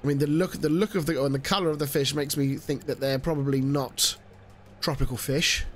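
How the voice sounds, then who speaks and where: A man's voice: fairly high pitch, fairly even intonation, a little fast, a little hiss or hum. A man in his thirties with a nasal, stuffy voice from a cold, rambling chattily through a let's-play with plenty of fillers. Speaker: gaming channel host. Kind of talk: casual let's-play commentary.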